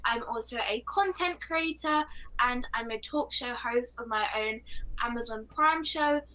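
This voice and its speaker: A woman's voice: fairly high pitch, unhurried, sharp tone, low-quality recording. A fourteen-year-old girl with a London British accent, talking casually and at length as an interview guest. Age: 14 years old. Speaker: interview guest, motivational speaker.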